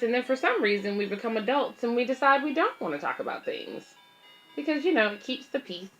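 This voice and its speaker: A woman's voice: a little high, bright, forceful tone, dull audio. A woman hosting a children's read-aloud, reading a picture book and explaining it simply to young listeners.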